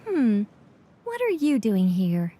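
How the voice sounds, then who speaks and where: High, grandiose young princess. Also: female voice, huge pitch swings, slow, mellow, slight background noise, exaggerated voice. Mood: haughty. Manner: pompous, archaic wording. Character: grandiose young noble lady, theatrical, self-styled princess. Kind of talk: video game character acting. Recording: faint music behind.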